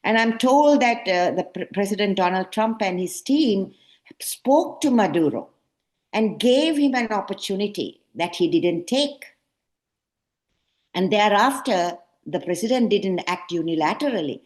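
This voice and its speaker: A woman's voice: medium pitch, animated, unhurried. A woman hosting and moderating a panel program, speaking emphatically with frequent hesitations. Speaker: program host.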